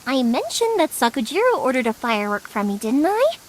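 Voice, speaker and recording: A woman voicing a cheerful, talkative, warm-hearted young fireworks maker in an English video game, chatty and encouraging. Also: sits high, very animated, fairly quick, a little hiss or hum, poor audio. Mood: friendly, consoling. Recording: music behind the voice.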